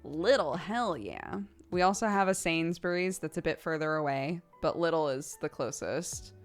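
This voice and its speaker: A woman's voice: low voice, big pitch swings, measured pace, faint music behind. A woman in her thirties streaming from home, chatting casually with her viewers, giggling often and swearing offhandedly.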